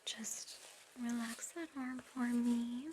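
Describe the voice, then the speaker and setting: A gentle, attentive nurse, played by a woman, whispers breathily in a medical ASMR roleplay. The delivery is very unhurried and reassuring, in a lightly high register. Faint ambient noise sits behind the voice. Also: little pitch movement. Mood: gentle, soothing. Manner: slow, soothing, reassuring. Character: gentle, soothing, attentive female recovery nurse. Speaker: ASMR performer.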